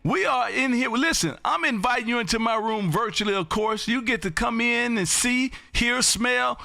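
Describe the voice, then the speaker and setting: A loud man in his fifties from Texas calls out over the air in a high, bright, drawn-out sing-song. A close studio boom mic gives a dry, tight sound. He hosts a live amateur radio stream from his home radio room. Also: vocal fry, no echo. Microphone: studio boom mic, headphones.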